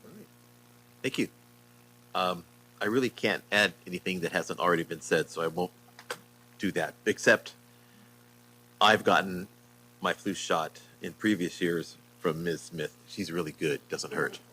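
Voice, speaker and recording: Deep-voiced man chairing a board meeting. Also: measured pace, long pauses, low-quality recording. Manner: relaxed, joking asides. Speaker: board president. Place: meeting room.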